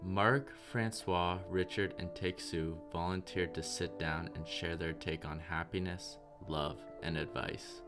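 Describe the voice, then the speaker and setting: A man's voice: low voice, steady tone, a little slow, few pauses, over loud music. A man reading scripted documentary narration as the interviewer.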